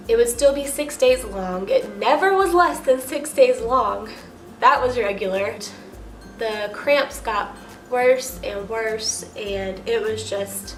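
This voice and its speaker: A young American woman with a nasal, stuffed-up voice from a cold, telling a personal story as a vlogger in a chatty, emphatic way full of filler "like". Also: fairly high pitch. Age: young adult. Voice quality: nasal, congested. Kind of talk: casual personal storytime to camera. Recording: roomy echo with music underneath.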